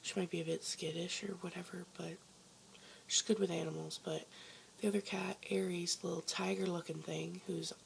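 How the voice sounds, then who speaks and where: A woman vlogger with a hoarse voice, chatting casually and rambling with lots of ums from her basement bedroom. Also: fairly low pitch, flat delivery, moderate pace, slight background noise. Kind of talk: casual chat to camera.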